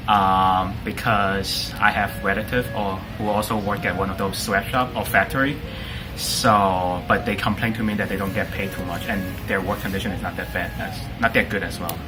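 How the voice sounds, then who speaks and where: A man of twenty answering interview questions in a halting, uncertain way. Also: sits low, steady tone, low-quality recording. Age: 20s. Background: music.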